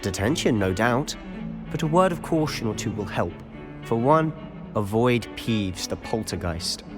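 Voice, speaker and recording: A man's voice: on the low side, expressive, a little slow, over music. A man voicing a confident, sly teenage wizard in a video game, with a British accent and a wry, conspiratorial manner. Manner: conspiratorial, wry, self-assured. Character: confident, sly teenage schoolboy wizard, loyal rule-breaker.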